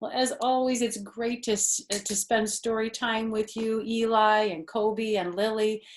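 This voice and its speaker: A woman's voice: on the high side, steady tone, unhurried, a little hiss or hum. An older woman reading a children's storybook aloud expressively, with explanatory asides.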